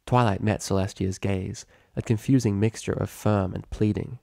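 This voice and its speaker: A man's voice: lowish voice, fairly even intonation, moderate pace, gravelly, mellow, no echo, clean studio sound. A man reading fiction aloud as a dramatic, scripted story narrator.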